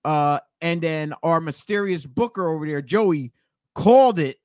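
A man's voice: on the high side, a little slow, darkish tone, no room sound. Joking man in his forties, close dry sound. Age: 40s. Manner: joking, banter-prone. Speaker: co-host of a wrestling talk show. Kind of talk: casual chat.

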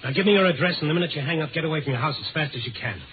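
An actor with an American accent playing a tough, wisecracking newspaper reporter and narrator in a 1950s radio drama, wry and hard-boiled. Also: male voice, a little high, very fast, background noise, lightly acted. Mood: urgent. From United States. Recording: tinny vintage radio recording, music behind.

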